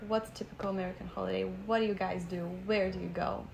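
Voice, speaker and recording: Animated Bulgarian woman hosting in English. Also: medium pitch, fast talker, gravelly, a little hiss or hum, poor audio. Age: young adult. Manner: animated, laughs often, searches for words. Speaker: podcast host. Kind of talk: casual interview chat.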